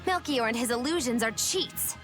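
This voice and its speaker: Woman acting a high, lively, sly know-it-all. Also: moderate pace, close to an everyday voice. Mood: musing, teasing. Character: sly, clever, teasing female know-it-all. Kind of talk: English video game dub acting. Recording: music under the voice.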